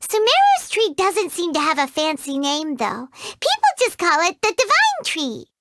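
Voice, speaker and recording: A woman voicing a tiny, excitable fairy companion in a video game who talks about herself in the third person. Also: moderate pace, a little hiss or hum, full character voice. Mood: chirpy, excitable. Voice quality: high squeaky childlike voice. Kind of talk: video game character dialogue.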